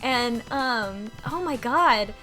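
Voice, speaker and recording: A woman co-hosting a casual podcast, recapping a video game in an animated, amused way. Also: on the high side, extremely slow, faint music behind. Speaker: podcast co-host.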